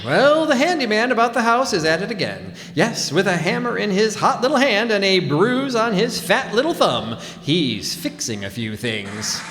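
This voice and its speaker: A man acts out a chipper vintage radio announcer selling a household product. The voice is high and swoops animatedly, with polished delivery, in an echoey hall with music around it. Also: very animated, moderate pace, few pauses, a bit bright. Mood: wry. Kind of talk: 1940s radio advertising and narration. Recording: echoey hall, live stage performance.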